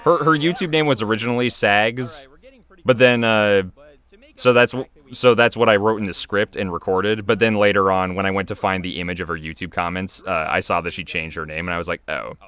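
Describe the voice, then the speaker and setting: A man's voice: lowish voice, fairly even intonation, brisk, fairly bright tone, clean tone. A man giving casual, off-the-cuff commentary as a video creator, chatty and rambling.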